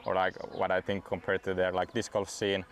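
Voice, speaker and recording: A young man whose first language is Swedish, chatting in a relaxed interview and padding his sentences with "like". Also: sits low. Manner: relaxed, fills every pause with "like". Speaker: guest disc golf player. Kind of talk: casual interview chat.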